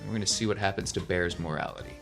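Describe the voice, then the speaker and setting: A man's voice: low voice, very fast, gravelly, fairly bright tone, soft background music. A man hosting a tabletop roleplaying podcast as game master, in an encouraging, conversational way.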